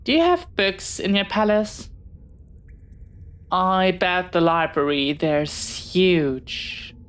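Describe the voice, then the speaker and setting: A woman's voice: fairly low pitch, slow, smooth. A woman playing a friendly, bookish, talkative young pirate in an audio roleplay, speaking in a polite, hesitant, earnest way. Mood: friendly, eager. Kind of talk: intimate scripted audio roleplay.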